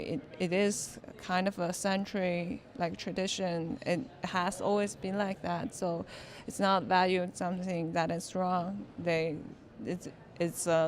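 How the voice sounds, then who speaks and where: Slow, low-pitched woman director. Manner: thoughtful, halting, with restarts and "like". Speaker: film director. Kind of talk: interview answers.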